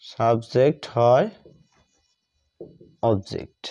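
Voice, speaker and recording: A man with a Bengali accent gives a grammar lesson in a soft, mellow voice. He dictates extremely slowly, repeating each phrase in small pieces. The sound is dull and lo-fi. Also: mid-range voice, animated. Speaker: English grammar teacher.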